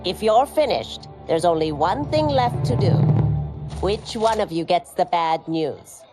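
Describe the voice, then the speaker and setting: A wry innkeeper. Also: female voice, on the low side, animated, a little slow, over music. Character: older female innkeeper, wry and motherly.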